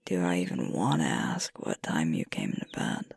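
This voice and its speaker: Woman doing sleepy tender girlfriend asmr, super breathy whispering, close dry mic, sweet and affectionate. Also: rumbling low, big pitch swings, darkish tone, dry recording, exaggerated voice. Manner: slow, drowsy, tender murmuring. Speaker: ASMR roleplay performer. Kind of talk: intimate girlfriend roleplay ASMR. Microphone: close microphone, dry.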